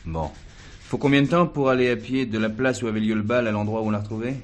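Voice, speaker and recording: An actor playing a calm, assured police commissioner in a drama, talking in a measured, patient and authoritative way. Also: male voice, fairly low pitch, close to an everyday voice. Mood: methodical. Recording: noisy old drama recording.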